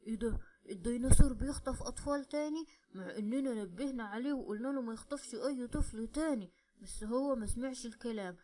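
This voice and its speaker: A woman voicing a stern, good-hearted male monster with an Egyptian accent, speaking low and firm. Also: fast, warm tone, natural voice. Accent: Egyptian Arabic.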